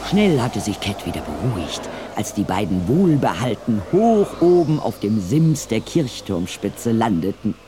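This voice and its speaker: A man narrating a German audio play in a measured, articulate scripted storytelling style. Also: lowish voice, sing-song, background noise. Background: faint music.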